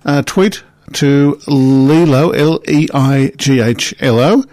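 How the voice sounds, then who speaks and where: A man's voice: mid-range voice, takes it slowly, darkish tone, no echo. Man, radio co-host, laid-back, dry punning humor, dry studio sound. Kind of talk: casual tech radio chat.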